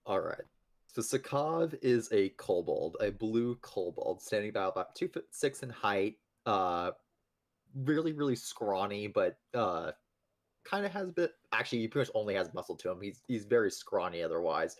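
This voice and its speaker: A male tabletop role-player speaks in a casual, descriptive way. His voice is a little on the low side. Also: sing-song, moderate pace.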